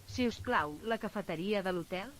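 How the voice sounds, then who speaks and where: A woman's synthetic app voice reading short phrases in a clipped, deliberate way for a learner to repeat. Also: mid-range voice, animated, music in the background. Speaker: language app voice. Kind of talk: phrase prompts for repetition.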